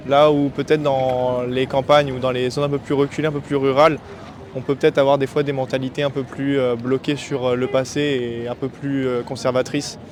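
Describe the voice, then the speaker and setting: A man from France answering a street interview, measured and hesitant with many fillers. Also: medium pitch, level tone, a little mellow, a little hiss or hum. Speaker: passer-by. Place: city street outdoors.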